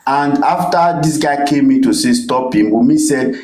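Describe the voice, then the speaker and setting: A Nigerian man with a mid-pitched voice and a Nigerian English accent, slipping into Pidgin as he talks in an online voice chat. He is emphatic and repeats himself, and his voice echoes around a roomy space. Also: normal speed, darkish tone. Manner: emphatic, repeats "bro, wait". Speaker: participant in an online audio discussion. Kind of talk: casual debate.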